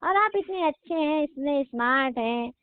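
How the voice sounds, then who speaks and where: A woman's voice: high voice, lively intonation, moderate pace, no echo. Woman playing a coaxing customer on a muffled call. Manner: coaxing, persuasive. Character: coaxing, flirty woman customer phoning a henna artist. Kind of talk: casual phone-call role-play. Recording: dull, lo-fi phone-call audio.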